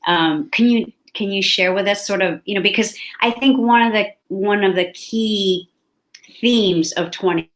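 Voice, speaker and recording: A woman's voice: mid-range voice, fairly bright tone. A woman hosting an interview, asking questions and often restarting her phrases. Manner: restarts phrases, speeds up and slows down. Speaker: host.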